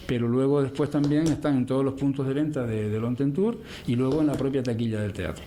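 A man's voice: medium pitch, fast, warm tone, a little hiss or hum. A man running a theatre, speaking as a radio guest, rambling and hesitant with many restarts. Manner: rambling, hesitant, repeats words. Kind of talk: casual radio interview.

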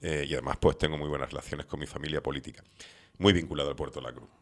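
A man's voice: a bass voice, fairly even intonation, very fast. A middle-aged man who is not from the islands, hosting an interview in a talkative, opinionated way with many fillers. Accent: non-Canarian Spanish. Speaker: radio show host. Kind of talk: conversational interview.

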